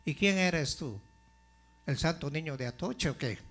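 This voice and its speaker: A male pastor delivering a sermon in a slow, deliberate way, building to forceful emphasis. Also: on the high side, animated.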